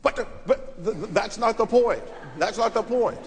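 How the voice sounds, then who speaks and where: A man's voice: high-pitched, lively intonation, normal speed. A man, a former official on a debate panel, arguing bluntly and cutting in on others. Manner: combative, blunt, interrupting. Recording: reverberant hall, faint noise.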